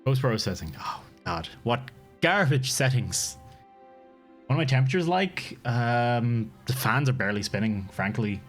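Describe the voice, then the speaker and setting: A man's voice: mid-range voice, expressive, moderate pace, faint music behind. A man streaming a game live, chatting casually, swearing freely and laughing often.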